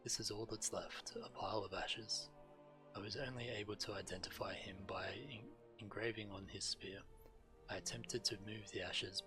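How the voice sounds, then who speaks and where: A man with a fairly low voice reads game quest text aloud as a streamer. Clear music plays behind him as he narrates.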